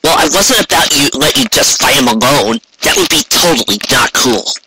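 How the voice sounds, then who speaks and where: A man's voice: mid-range voice, expressive, moderate pace, pushed and bright, a little hiss or hum, poor audio. A man voicing a brash, stubborn young female pegasus pony in a fan story reading, insistent and casually slangy.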